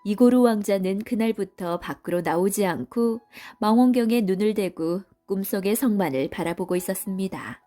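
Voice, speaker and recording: A woman's voice: medium pitch, normal speed, hardly any pauses, warm tone, soft background music. A woman storyteller giving scripted storybook narration for children.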